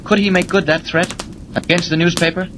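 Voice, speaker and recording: Actor as urgent heroic reporter, noisy old radio. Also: male voice, sits high, fairly even intonation, a little fast, close to an everyday voice. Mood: earnest, pressing. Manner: urgent, self-narrating, stammering when pressed. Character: brisk heroic male reporter who is secretly Superman. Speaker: newspaper reporter. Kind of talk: 1940s radio serial acting. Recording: noisy vintage 1940s radio recording.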